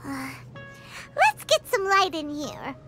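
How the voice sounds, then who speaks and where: A tiny, childlike female fairy from a video game, squeaks in an extremely high voice. She is excitable and quick to indignation, her pitch bouncing dramatically. Loud music plays underneath. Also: full character voice. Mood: curious, determined. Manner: refers to herself in third person, dramatic.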